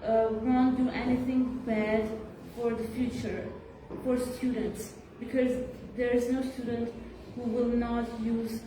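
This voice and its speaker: A teenage girl student giving her view in a classroom discussion, speaking haltingly with many fillers. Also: fairly high pitch, little pitch movement. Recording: echoey room from far off, clear background noise.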